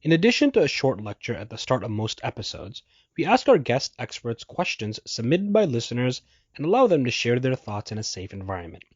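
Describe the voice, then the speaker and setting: A man's voice: mid-range voice, very animated, fairly quick, slightly rough, dry recording, dull audio. A man hosting a podcast, reading a polite intro and then asking his guest questions. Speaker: podcast host.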